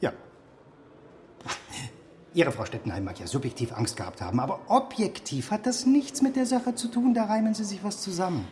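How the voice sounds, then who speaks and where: A man's voice: high-pitched, big pitch swings, moderate pace, darkish tone, slight background noise. An actor playing a dismissive, officious male chief police inspector in a radio drama, lecturing and condescending.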